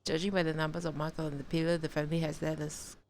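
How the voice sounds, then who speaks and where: A woman game streamer giving casual live commentary and reading game text aloud in a halting, reactive way. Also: deep voice, level tone, darkish tone, slight background noise. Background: game music.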